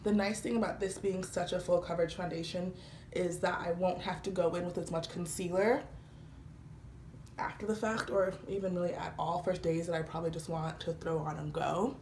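A young woman talking casually through a makeup routine, slightly congested from a cold, chatty and relaxed with frequent fillers. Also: lowish voice, brisk. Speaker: beauty vlogger. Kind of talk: casual makeup tutorial talk. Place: small indoor room, patterned wall with lights. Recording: roomy echo, faint background hiss.

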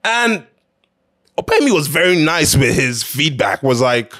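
A man's voice: fairly high pitch, big pitch swings, measured pace, pushed and bright. A man hosting an opinion show, talking casually and emphatically in a conversational way, repeating phrases to drive his points home.

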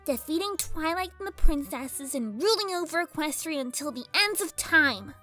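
A woman's voice: high voice, lively intonation, moderate pace, sharp tone, faint music behind. A woman voicing a bratty, indignant young girl pony villain in a fan fiction audio drama, whiny, exasperated and dramatic. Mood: sullen.

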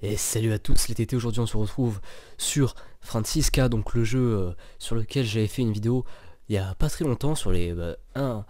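A young man with a stuffy cold, giving jumpy let's play commentary at his microphone, swearing and joking to calm himself. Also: moderate pace, soft background music. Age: young adult. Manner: jumpy, swears, jokes to stay calm, squeaks up when scared. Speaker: gaming youtuber. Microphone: desk microphone.

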